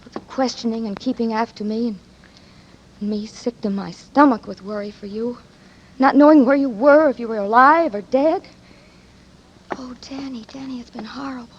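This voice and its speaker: A woman's voice: on the high side, normal speed, husky. An actress playing an anxious, doting former nurse in a 1940s radio drama, cooing endearments to her man. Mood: distraught. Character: possessive tender woman, Ruth, ex-nurse. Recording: dull old radio recording with faint hiss.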